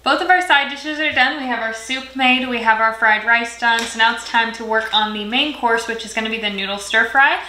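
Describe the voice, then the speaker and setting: A woman's voice: fairly high pitch, fairly quick, few pauses, pushed and bright. Young woman chatting through a cooking demo. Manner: friendly, chatty explanations with casual fillers. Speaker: cooking channel host. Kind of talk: step-by-step cooking demonstration. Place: home kitchen, indoors. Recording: echoey kitchen with sizzling pan noise. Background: frying and stirring sounds.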